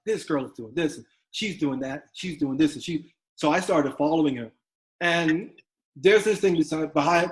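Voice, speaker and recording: Halting, earnest middle-aged man. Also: mid-range voice, rough recording. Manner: halting, many restarts and fillers, earnest. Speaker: interview guest, father and manager. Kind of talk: reflective conversational interview.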